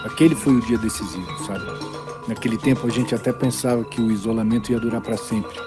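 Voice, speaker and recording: A man's voice: lowish voice, fast talker, music in the background, close to an everyday voice. An actor playing an earnest, devoted, optimistic man talking to his partner in a naturalistic audio drama. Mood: reflective.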